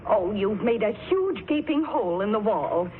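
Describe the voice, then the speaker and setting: An actress playing a nagging, sickly, desperate wife in melodramatic 1940s radio horror style. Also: female voice, medium pitch, expressive, normal speed, natural voice. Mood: querulous. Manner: querulous, melodramatic. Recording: tinny, slightly noisy 1940s radio recording.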